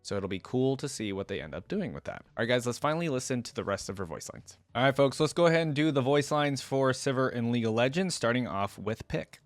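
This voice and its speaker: A man's voice: medium pitch, animated, fast, few pauses. A man giving informal, conversational commentary as host of a reaction video. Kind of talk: casual commentary reacting to game voice lines.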